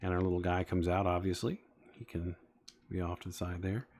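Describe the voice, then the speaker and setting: Easygoing man in his forties, lowish voice. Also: flat delivery, a little fast, a little mellow. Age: 40s. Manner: rambling, chatting to viewers with many fillers. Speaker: streamer building toy sets. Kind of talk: casual live-stream chat.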